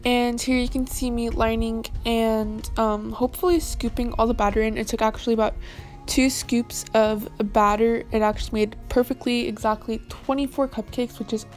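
A young woman talks over her own baking footage in a casual voice-over. Her pitch sits a little high but barely moves, so the delivery stays level and relaxed. Faint music plays in the background. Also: moderate pace. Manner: casual and even, with fillers. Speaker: vlogger.

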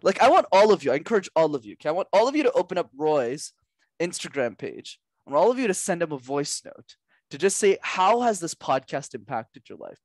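A young man, a podcast guest and communication coach, giving energetic coaching advice with lots of emphasis and repetition over a headset mic at home. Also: fairly high pitch, fairly quick, no room sound. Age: young adult. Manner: animated, gestures a lot, drives points home with repetition. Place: small room at home.

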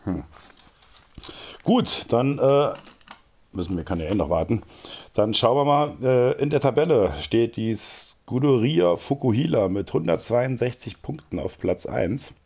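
A man's voice: mid-range voice, expressive, unhurried, gravelly, a little mellow, slightly rough. A man commentating a live sim racing broadcast, chatty and joking as he reads out standings and greets viewers. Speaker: race commentator.

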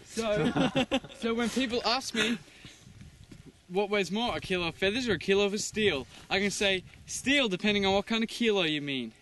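Teen boy, high voice, goofy and crude, outdoor camcorder audio with heavy noise. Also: moderate pace. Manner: goofy, joking, swears freely. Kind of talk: casual chat among friends. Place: outdoors on a rural farm, by a tent and dam. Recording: outdoor camera audio with loud wind and background noise.

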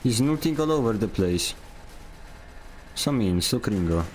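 Young guy from poland streaming, rambly and jokey, quick asides, bit of hiss behind. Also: on the low side, lively intonation, a little mellow. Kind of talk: casual gaming stream chat.

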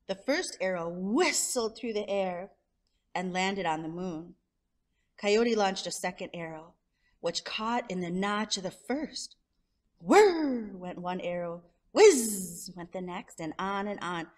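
A woman storyteller reading a children's picture book aloud expressively, drawing out descriptive words. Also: medium pitch. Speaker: storyteller, show host.

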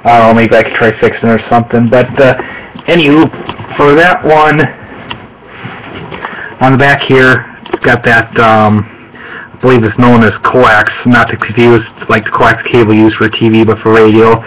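A man narrating a casual handheld show-and-tell in a halting, rambling way, correcting himself as he goes. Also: mid-range voice, fairly even intonation, fairly bright tone, slight background noise, low-quality recording. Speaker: hobbyist video host. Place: small room at home, indoors. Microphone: handheld camera microphone.

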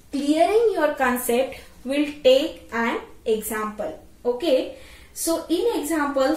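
Female tutorial presenter, very unhurried delivery with repeated phrases, recorded in an echoey room away from the mic. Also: sits high. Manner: repeats phrases, adds checking tags. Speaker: teacher. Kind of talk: explanatory tutorial lecture. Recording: roomy echo, distant microphone.

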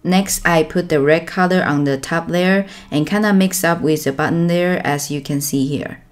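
A young woman calmly explaining step by step how she colors a drawing, as the host of an art tutorial. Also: sits low, moderate pace, soft background music. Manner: calm, instructive.

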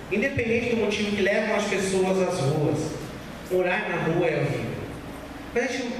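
A man in his thirties with a São Paulo Brazilian accent, preaching an earnest, exhorting church sermon, repeating phrases for emphasis. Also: sits high. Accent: Brazilian, São Paulo state. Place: church stage at a pulpit, indoors. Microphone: handheld mic through room speakers, far camera. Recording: echoey noisy hall, recorded from far off.

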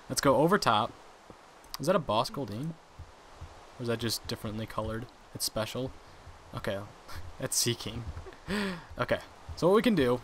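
A young man casually commenting on his own gameplay, chatty and quick to laugh at his mistakes. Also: medium pitch, fairly bright tone, a little hiss or hum. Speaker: gaming channel host.

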